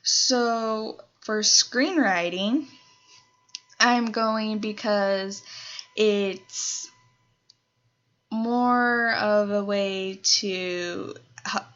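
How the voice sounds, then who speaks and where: A woman's voice: medium pitch, drawn-out words, long pauses, fairly bright tone, dull audio. A nineteen-year-old woman from Colorado, chatting casually in a Q&A vlog, rambling with lots of fillers. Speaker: YouTuber.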